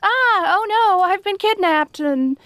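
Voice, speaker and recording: A woman's voice: extremely high pitch, expressive, slow, fairly bright tone, dry recording, full character voice. A woman playing a kind, naive young princess in a fantasy audio roleplay, speaking politely and hesitantly to the listener. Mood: flustered, amused. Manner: hesitant, polite, rambling earnest explanations.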